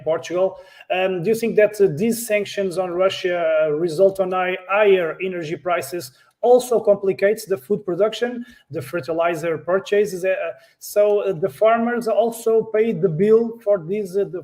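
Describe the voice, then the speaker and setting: A man interviewing a guest, asking long, halting questions and repeating words as he searches for them. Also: high-pitched, mellow.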